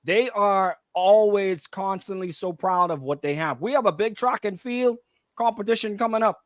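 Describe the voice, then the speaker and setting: A man's voice: sits high, moderate pace, no echo, clean studio sound. A man with a Bahamian accent chatting casually as a co-host on a radio show. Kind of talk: casual chat.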